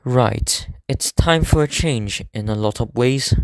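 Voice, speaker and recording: A man acts as a serious teenage idol student in an anime fan dub. His voice is smooth and clear in a dry, close recording. He explains things earnestly, in formal, measured sentences. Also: mid-range voice, no echo. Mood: hopeful, determined. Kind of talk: scripted anime game fan dub acting.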